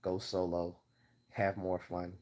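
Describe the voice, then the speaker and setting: A man hosting a casual livestream Q&A, chatting and rambling as he loses his voice and keeps clearing his throat. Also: low-pitched, completely flat delivery, slow, a little mellow. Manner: rambling, chatty, laughs often. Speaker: livestream host.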